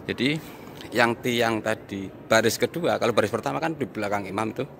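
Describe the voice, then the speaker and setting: A man giving an improvised walk-through review, explaining in a halting, repetitive way as he points things out, recorded on location. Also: lowish voice, gravelly, a bit bright, slight background noise. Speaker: audio reviewer and vlog host. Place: inside a large mosque, walking around.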